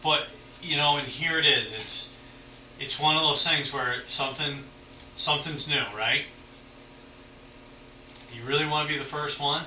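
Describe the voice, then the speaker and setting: A man's voice: fairly high pitch, steady tone, unhurried, long pauses, sharp tone. Male cop explaining stuff casually, kinda conciliatory, roomy sound, noisy background. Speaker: police officer. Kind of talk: casual roadside explanation to a detained person. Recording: noisy, roomy, distant mic.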